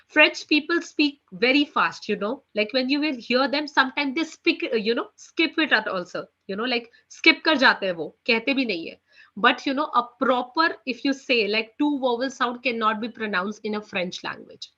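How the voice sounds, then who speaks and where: A woman's voice: expressive, moderate pace, pushed and bright. A woman with an Indian English accent teaching a beginner French class online, often repeating "you know" and "okay" between phrases. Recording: muffled low-fidelity audio.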